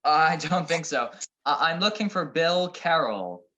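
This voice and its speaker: A man's voice: fairly high pitch, expressive, unhurried, pushed and bright. A man playing a tough, vengeful detective in an old radio-style crime drama, curt and threatening. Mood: hard, determined. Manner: curt, threatening, hard-boiled. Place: recorded at home over a video call.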